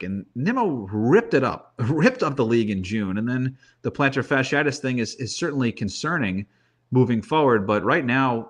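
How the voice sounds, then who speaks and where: A man giving off-the-cuff sports analysis in casual talk, conversational, often repeating words as he thinks aloud. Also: lowish voice. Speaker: baseball commentator, guest analyst.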